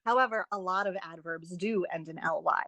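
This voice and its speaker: A woman's voice: lowish voice, brisk, sharp tone, no room sound. A woman guest on an interview, an author and editing expert explaining writing tips conversationally, with an emphatic, instructive manner.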